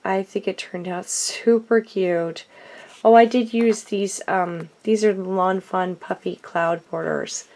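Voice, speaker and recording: Woman vlogger, hoarse from a cold, unhurried and chatty, mid pitch. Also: steady tone, a little mellow. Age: adult. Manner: chatty, with frequent ums and self-corrections. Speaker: card-making vlogger. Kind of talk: casual craft show-and-tell.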